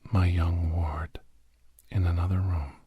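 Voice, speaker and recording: A man playing a grave, haunted doctor and war veteran in an ASMR horror audio drama, speaking slowly in a breathy, husky hush that often slips toward a whisper. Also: sits low, little pitch movement, narrow, tinny audio, exaggerated voice. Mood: fearful, haunted. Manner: slow, halting, hushed, often slipping into whisper.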